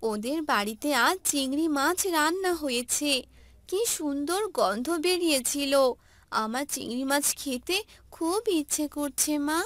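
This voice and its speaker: A woman's voice: high-pitched, lively intonation, a little slow, a bit bright, dry recording. A woman voicing a little village girl who talks in a whiny, eager way.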